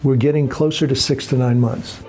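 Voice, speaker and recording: A man explains his workplace in an interview. His voice is dark and relaxed, at an average pitch for a man. Soft music runs underneath. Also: normal speed. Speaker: air traffic control manager.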